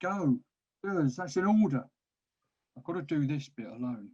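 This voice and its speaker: An evasive but determined orc captain, played by a man, in a fantasy comedy table read. His voice sits a little high, and the recording is dull and muffled. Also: lively intonation, normal speed, a little mellow, slightly rough. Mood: firm, resolved.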